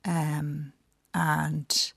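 A woman telling her story to an interviewer in a radio studio, with many pauses, fillers and restarts. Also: low-pitched, little pitch movement, creaky, fairly bright tone, husky, no echo. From Ireland. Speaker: guest.

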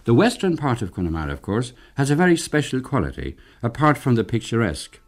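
A man reading scripted documentary narration in a measured, formal way. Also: medium pitch, wildly swooping pitch.

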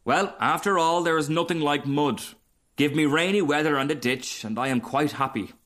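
A man's voice: a little high, moderate pace, fairly bright tone, pitched above the performer's own voice, close to an everyday voice. A man voicing a small, cheerful male frog in a storybook, garrulous, genteel and very pleased with himself. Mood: cheerful, boastful. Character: cheerful, chatty, self-satisfied little male frog. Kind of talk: storybook character reading.

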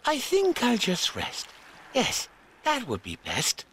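A man doing a squeaky fussy talking salmon. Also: wildly swooping pitch, slow, fairly bright tone, slight background noise, heavily acted. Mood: weary. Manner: squeaky, sing-song rhyming.

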